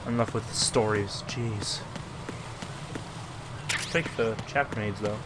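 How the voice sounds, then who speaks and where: A man's voice: fairly low pitch, slow pace, heavy background noise. A man giving casual, offhand commentary while playing through a video game. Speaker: gamer commentating a walkthrough. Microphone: voice-over over game audio. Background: loud game music.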